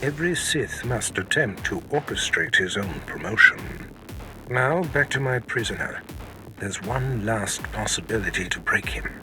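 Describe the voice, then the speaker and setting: A man's voice: on the low side, expressive, normal speed, music in the background, natural voice. A man voicing a proud, sinister Sith lord in a video game, imperious and grandiose with a menacing manner. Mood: calculating, commanding.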